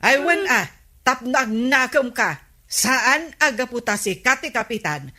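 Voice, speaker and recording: An actress playing a questioning, scolding village captain's wife in an Ilocano radio comedy drama, with an Ilocano accent. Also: female voice, on the high side, expressive, unhurried, pushed and bright, heavily acted.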